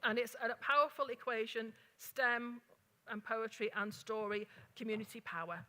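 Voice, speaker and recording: Scouse woman host, bright, rambly with lots of ums, talking to a café crowd. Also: fairly high pitch, steady tone, normal speed, a little hiss or hum. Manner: chatty, lots of fillers and restarts. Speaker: event host and speaker. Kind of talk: live talk and introductions. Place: café event space.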